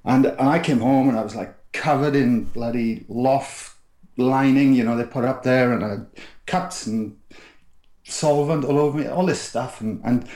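A man's voice: medium pitch, a little slow, darkish tone, echoey room. A middle-aged man from South Wales with a Welsh accent, a podcast guest telling his life story in a rambling, restarting way. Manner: rambling, frequent restarts and fillers. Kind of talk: casual storytelling interview.

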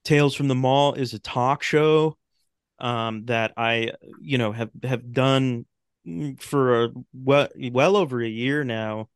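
A man with a smooth, clear voice at a middle pitch, talking casually as a podcast guest. His explanations ramble and halt, and the sound is dry and close. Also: slow pace, no room sound. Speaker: podcast guest, talk show host and radio drama maker. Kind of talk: casual interview chat.

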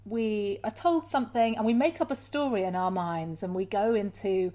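A woman's voice: mid-range voice, moderate pace. A woman hosting a podcast interview, affirming her guest and relating his ideas to her own life in a conversational way. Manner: warm, affirming, shares personal examples. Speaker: podcast host.

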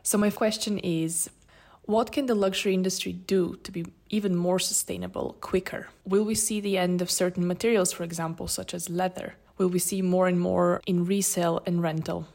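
A woman's voice: fairly low pitch, steady tone, moderate pace, gravelly, a little mellow. A woman from Bulgaria, a consultant, politely putting a prepared question.

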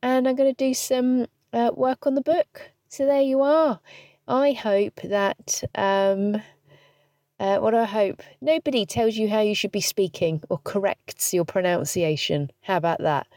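A woman's voice: medium pitch, unhurried, warm tone, dry recording. A woman hosting her podcast from a parked car, stuffy with hay fever, chatting cheekily and laughing at herself. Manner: chatty, cheeky and self-deprecating, laughing often. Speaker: podcast host. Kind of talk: casual solo podcast chat.

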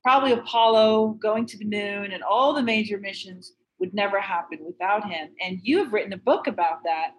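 A woman's voice: medium pitch, fairly quick, few pauses, rough recording. A woman hosting an interview podcast, enthusiastic, with long leading questions. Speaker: podcast host.

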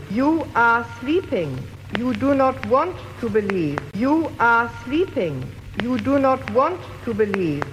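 A woman repeating a slow, hypnotic chant in a monotone. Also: on the high side. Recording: muffled, noisy, long reverb.

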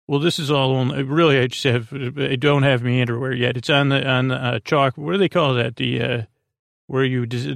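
A man with a creaky voice hosting a sleep podcast, meandering in a soothing, gently whimsical way with stammered false starts. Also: mid-range voice, no echo, clean studio sound. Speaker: podcast host. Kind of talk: rambling sleep podcast monologue.

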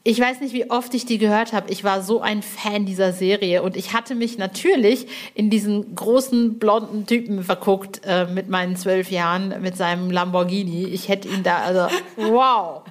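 A woman's voice: mid-range voice, fairly even intonation, moderate pace, few pauses. A woman hosting a podcast interview in casual, effusive fan chat, with colloquial slang and the odd swearword.

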